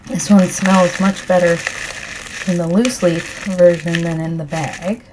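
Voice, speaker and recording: A middle-aged woman speaking softly during an ASMR tea tasting, her voice croaky, clearing her throat often, rambling gently with fillers. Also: fairly low pitch, fairly even intonation, background noise. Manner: rambling, soft and unhurried with fillers.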